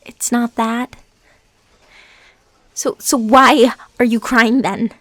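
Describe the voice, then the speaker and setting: Casting: actress as bitter, obsessive young-sounding woman for intimate roleplay audio; highish pitch, raw emotional halting delivery, very dry sound. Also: steady tone, no echo. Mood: weary, conflicted. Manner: halting, emotional, swinging between hushed whispers and outbursts. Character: intense lovesick ex-stalker woman, bitter, wounded, fighting obsession.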